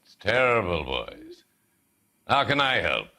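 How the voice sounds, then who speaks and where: Bright-voiced, slick tycoon. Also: male voice, on the low side, huge pitch swings, takes it slowly, slight background noise, full character voice. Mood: genial. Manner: folksy, condescending, smooth-talking. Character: slick, self-assured middle-aged male real estate tycoon. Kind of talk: dramatic video game acting.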